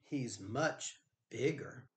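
A man voicing a medium-sized billy goat in a storybook, mild and pleading, with a fairly soft voice. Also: medium pitch, extremely slow. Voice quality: not so small, fairly soft voice.

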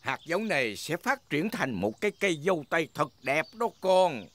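A man's voice: fairly high pitch, very animated, moderate pace, a bit bright. A man voicing a jolly, patient old grandpa pig who explains kindly in a dubbed preschool cartoon. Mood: kindly explaining. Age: older character. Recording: music under the voice.

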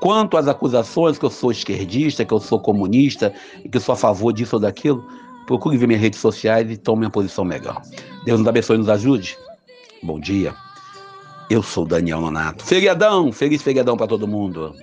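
A man's voice: fairly low pitch, expressive, fairly quick, darkish tone. Brazilian man giving a casual gospel reflection. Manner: conversational, many hesitations and restarts. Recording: slightly dull lo-fi audio with faint music.

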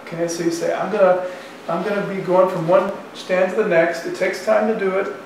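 A man in his sixties lectures like a professor thinking aloud, in colloquial language. His voice sits fairly high. It is picked up from some distance in a very echoey classroom, with faint background noise. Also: little pitch movement, fairly quick. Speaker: university economics professor. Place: university classroom in front of chalkboard.

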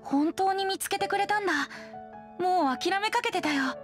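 Casting: playful, genteel boy bard for an anime game, light high voice. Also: moderate pace, music in the background. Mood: grateful, playful. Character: youthful boyish wandering bard, playful and genteel.

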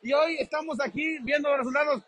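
Very high-voiced rally host, man. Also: steady tone, fast, a bit bright. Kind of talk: live on-the-spot reporting. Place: outdoors at a city rally. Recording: outdoor rally with crowd noise.

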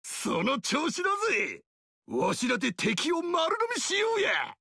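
A boisterous barbarian king roars his boasts and battle cries. His voice is high, gravelly and bright, and it swings wildly up and down. The video game recording is dry and close. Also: wildly swooping pitch, measured pace, no echo, exaggerated voice. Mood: hearty praise. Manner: gruff rough-speech shouting battle cries. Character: boisterous burly barbarian king, brash and loud.

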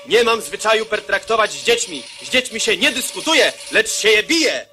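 High, strident news crier. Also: male voice, sing-song, normal speed, bright, forceful tone, soft background music, heavily acted. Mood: indignant. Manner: declamatory. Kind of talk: radio play news bulletin.

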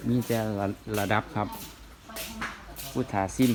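A man's voice: on the low side, level tone, a little slow, noisy background. A man with a northeastern Thai Isan accent, hosting a vlog and asking questions in a casual, teasing way. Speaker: vlog host and interviewer.

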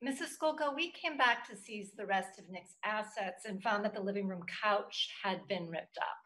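An actress playing a stern FBI agent in a comedy table read, with a dry, businesslike manner. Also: female voice, mid-range voice, moderate pace, pushed and bright, close to an everyday voice. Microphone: video call.

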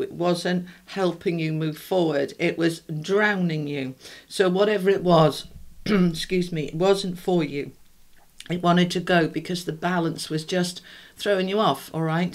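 Throaty British woman giving a tarot reading. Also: lowish voice. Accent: British English. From United Kingdom. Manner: chatty, rambling, addresses viewers warmly as a group. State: slightly throaty, congested. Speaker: tarot reader. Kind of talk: casual spoken tarot reading.

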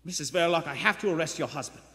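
A man acts a polite, quietly devoted police detective in a vintage radio drama. He speaks earnestly in a lightish, higher male voice that rings around a theatre hall. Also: fast talker. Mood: sympathetic, earnest. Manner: earnest, polite, slightly hesitant. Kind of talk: live vintage radio play acting. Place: indoor theatre stage before live audience.

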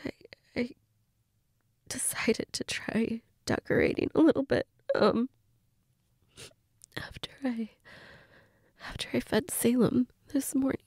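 A woman acting a grieving girlfriend in an intimate audio role-play, crying and fragile, halting and repeating herself, often dropping to a whisper. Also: on the high side, fairly even intonation, a little mellow, slightly rough, no room sound, studio quality, exaggerated voice. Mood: tearful, drained. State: crying, sniffly, breathy from tears. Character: grieving girlfriend, tearful and fragile, insisting she's fine.

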